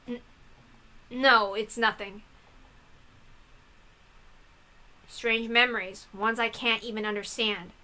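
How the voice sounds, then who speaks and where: A woman's voice: fairly high pitch, fairly even intonation, a little slow, sharp tone, poor audio. A woman voicing a troubled but defiant young story heroine with an earnest, questioning manner. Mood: uneasy.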